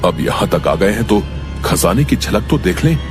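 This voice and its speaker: A man's voice: on the low side, big pitch swings, normal speed, over music. A man voicing a greedy, stubborn older brother who talks curtly, in a cartoon story. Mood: greedy, eager. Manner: curt, dismissive. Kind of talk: cartoon story dialogue in Hindi.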